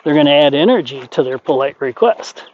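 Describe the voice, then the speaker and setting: Plain-spoken man trainer, rambling folksy delivery, slightly raised pitch, dull lo-fi outdoor recording. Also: lively intonation, fairly quick, a little mellow. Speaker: horse trainer. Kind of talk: explaining horse training while working. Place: outdoors at a horse pen.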